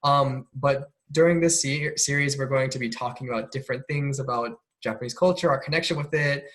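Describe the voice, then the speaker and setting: A man's voice: mid-range voice, fairly quick. Young man hosting a casual podcast. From Oregon, US. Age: young adult. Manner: relaxed, chatty, frequent "um" fillers. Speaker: podcast host, club president.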